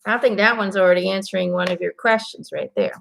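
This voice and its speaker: A woman's voice: medium pitch, fairly even intonation, quick pace, gravelly, a bit bright. A Belgian woman whose first language is Dutch, doing a casual, earnest card reading for viewers and encouraging them with plenty of fillers and restarts. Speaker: intuitive card reader.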